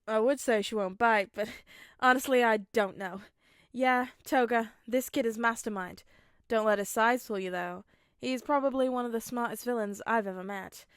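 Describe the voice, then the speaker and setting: A woman's voice: fairly high pitch, normal speed, smooth, dry recording, natural voice. An easygoing underworld arms dealer man, read by a woman in a story. He talks in a wry, casual way. The sound is close and dry. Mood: amused, wry.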